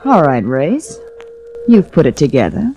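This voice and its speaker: Lively, coy radio socialite. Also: female voice, low voice, a little slow, faint music behind, full character voice, rough recording. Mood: pleading. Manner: coy, teasing, languid. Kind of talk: 1940s radio drama acting. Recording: restored vintage 1940s radio recording.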